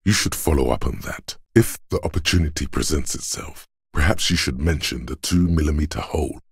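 A detective's inner logic for a game: gravelly, raspy, measured and analytical, very dry close sound. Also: male voice, no room sound, exaggerated voice. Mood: coolly deliberate. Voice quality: very deep, gravelly, husky. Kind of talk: video game narration.

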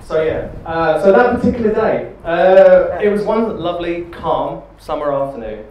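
A British man in his thirties, giving a quick, joking best man speech into a handheld mic. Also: a little high, expressive, darkish tone. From Britain. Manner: quick, joking, chuckling between lines. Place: large wood-panelled banquet hall, indoors. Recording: very echoey hall with background noise.

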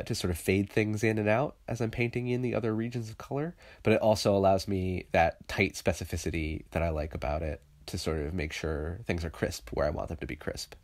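A man with a stuffy, congested voice from a cold, talking through his digital painting process in a loose, thinking-aloud tutorial voice-over. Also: lowish voice. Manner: thinking aloud, loose and conversational with many fillers. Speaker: illustrator teaching digital painting.

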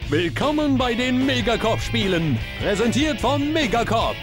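A man's voice: very high voice, big pitch swings, measured pace, full character voice. A man playing a bombastic, theatrical TV announcer in a video game, narrating grandly. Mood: hyped. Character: bombastic TV announcer of hero shows and arena games. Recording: loud music under the voice. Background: loud music.

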